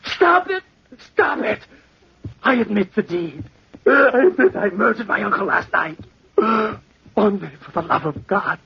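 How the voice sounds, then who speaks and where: A guilt-crazed killer in a 1940s radio horror play. The voice is high, agitated and melodramatic, swinging widely in pitch with a gravelly edge, on a noisy old recording. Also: male voice, lively intonation, measured pace, lo-fi sound, heavily acted. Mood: frantic, desperate. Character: frantic guilt-crazed murderer confessing, tormented by imagined noise.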